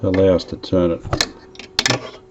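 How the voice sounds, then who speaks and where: A man's voice: sits low, monotone, a little slow, dull audio, noisy background. A man narrating as he restores an old radio, chatting casually and thinking aloud while he works.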